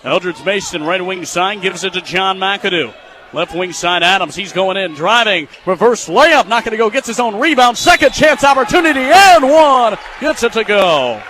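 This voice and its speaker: A young American man calling live basketball on radio as play-by-play broadcaster, in clipped phrases and excitable on big plays. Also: high voice, normal speed, a faint crowd. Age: young adult. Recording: clearly noisy live sports venue sound.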